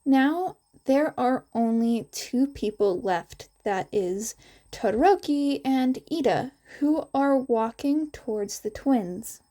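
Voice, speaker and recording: Female podfic narrator: higher voice, lively intonation, unhurried scripted reading.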